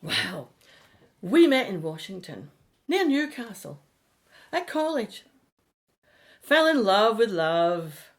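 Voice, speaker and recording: A woman's voice: mid-range voice, big pitch swings, slow pace, long pauses. A woman acting a time traveller who recounts in clipped, telegraphic phrases for a radio play. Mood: terse recollection.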